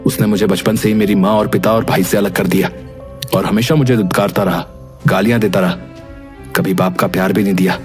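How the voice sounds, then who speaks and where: A man's voice: lowish voice, fairly even intonation, brisk, close to an everyday voice. A man voicing a wounded, indignant young long-lost twin in an emotional story drama with music behind. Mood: bitter.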